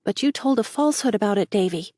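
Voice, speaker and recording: Woman doing a soft, reproachful young teacher, slightly raised pitch, dry. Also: expressive, fast talker, no room sound, lightly acted. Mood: sorrowful. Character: gentle young woman schoolteacher, sorrowful.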